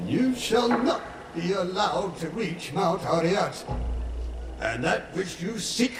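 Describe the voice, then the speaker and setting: An actor playing a defiant herald of a fortress city, proclaiming formally in a theatrical fantasy game cinematic. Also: male voice, sits high, lively intonation, slow pace, music in the background. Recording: echoey, distant, very noisy game playback.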